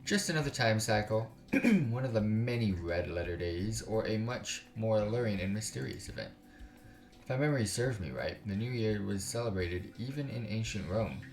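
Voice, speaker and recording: Low-voiced man live streaming, roomy sound. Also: expressive, normal speed, creaky. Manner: informal, slangy, swearing, bantering with viewers. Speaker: live streamer, gaming channel host. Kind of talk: casual live-stream chat with game text read aloud. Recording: roomy room echo, music behind. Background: music.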